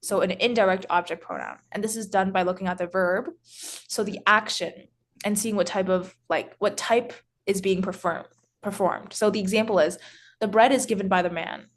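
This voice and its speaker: A woman teaching French grammar in English, explaining slowly with many pauses, restarts and filler words. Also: mid-range voice. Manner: hesitant, frequent restarts and "so". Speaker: French tutor.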